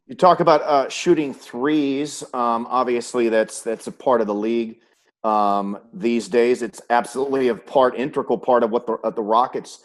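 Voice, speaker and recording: A man's voice: mid-range voice, steady tone, normal speed. A middle-aged man, a sports announcer, hosting a conversational interview and rephrasing his questions as he goes.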